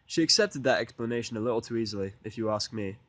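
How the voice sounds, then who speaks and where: A man's voice: medium pitch, lively intonation, fast, fairly bright tone, no room sound. A man reading a first-person story as an amateur audiobook narrator, in a casual, sardonic style.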